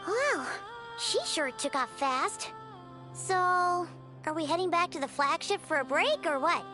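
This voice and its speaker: Tiny childlike game fairy, very high squeaky voice, swooping intonation, chirpy, excitable, exclamatory. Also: female voice, measured pace, music in the background. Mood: chatty, scheming. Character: Paimon, tiny excitable childlike floating fairy companion, female. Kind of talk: animated video game voice acting.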